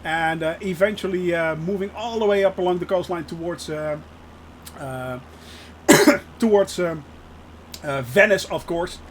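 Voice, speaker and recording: A man's voice: high-pitched, measured pace, a little hiss or hum. A Dutch man, native Dutch speaker, giving chatty live-stream commentary while flying a sim and answering his chat with frequent laughs. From Netherlands.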